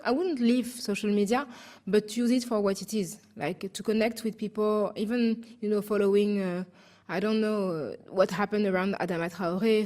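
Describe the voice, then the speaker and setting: A woman with a French accent answering questions at a public talk, speaking in halting phrases with many restarts and fillers. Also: mid-range voice, a little mellow. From France. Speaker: guest speaker.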